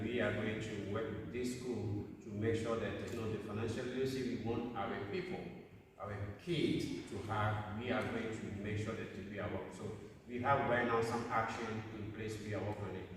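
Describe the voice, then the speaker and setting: An earnest man answers audience questions, stressing his points by saying them twice. His mid-pitched voice reaches the mic from a distance. A long hall echo and faint room noise sit around every word. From Somalia. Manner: earnest, emphatic, repeats points. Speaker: council representative answering questions. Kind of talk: public Q&A answer.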